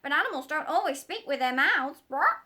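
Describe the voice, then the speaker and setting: Loud squawking parrot. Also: female voice, sits high, animated, moderate pace, voice pushed up. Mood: squawky, bossy. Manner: squawking, sharp, emphatic. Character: proud, bossy female parrot.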